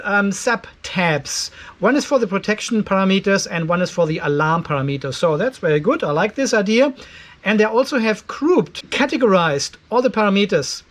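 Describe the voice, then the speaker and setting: Guy in his 50s w a pretty high voice, chatting thru stuff step by step, blunt about what he thinks. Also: a bit bright. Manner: conversational, step-by-step, openly critical. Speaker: host of an off-grid solar channel. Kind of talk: casual explainer vlog to camera. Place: cluttered garage workshop.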